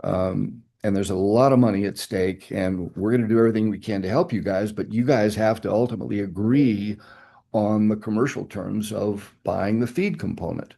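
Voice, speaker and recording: An older man with a low, gravelly voice and a dark, mellow tone. He recalls past negotiations in an oral history interview, reflective and now and then emphatic. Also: moderate pace. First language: English. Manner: reflective storytelling, emphatic asides. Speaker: interviewee, nuclear fuel executive.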